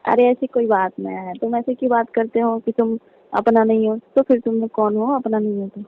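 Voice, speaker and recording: A woman's voice: a little high, fairly even intonation, moderate pace, lightly acted. A young woman playing a coy, teasing female cousin in a casual, colloquial phone chat. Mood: bashful protesting. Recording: thin narrow-band phone line.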